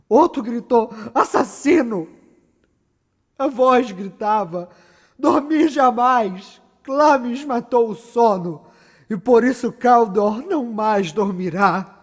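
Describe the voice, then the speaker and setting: A man's voice: very high-pitched, full character voice. A Brazilian man playing a tormented, guilt-ridden, ambitious murderer in a solemn, declamatory Shakespearean stage monologue. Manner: declamatory, solemn, dramatic pauses. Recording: echoey hall with long reverb.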